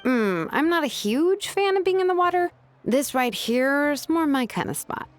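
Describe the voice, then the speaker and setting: A woman's voice: sits high, sing-song, normal speed. A woman playing a laid-back, easygoing young craftswoman in a video game, talking casually. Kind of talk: English video game voice acting. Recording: faint music behind the voice.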